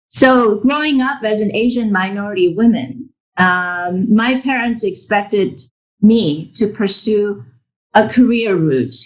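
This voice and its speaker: Slow, measured woman executive. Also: mid-range voice, poor audio. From United States. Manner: measured, composed, with fillers between phrases. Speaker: corporate executive, guest speaker. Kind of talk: interview answer, self-introduction. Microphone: remote call from abroad.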